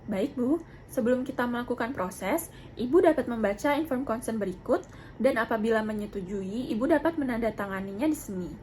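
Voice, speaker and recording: A woman's voice: a little high, lively intonation, fairly quick, a little mellow. A young adult woman giving formal, polite, methodical instruction in the manner of a student demonstrating a clinical procedure. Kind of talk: instructional narration with role-played patient consultation. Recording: clearly noisy amateur video sound.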